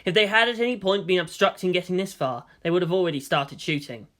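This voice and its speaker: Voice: medium pitch, quick pace, a bit bright. A narrator reading a story aloud in a dramatic, scripted audiobook style.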